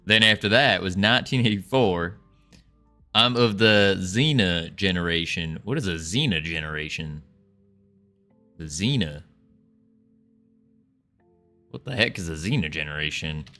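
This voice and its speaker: A man's voice: deep voice, lively intonation, measured pace, long pauses, bright, forceful tone, faint music behind. A young man chatting casually as a livestreamer, putting on an American Southern accent with playful, folksy cowboy talk. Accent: put-on American Southern. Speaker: live streamer chatting with viewers.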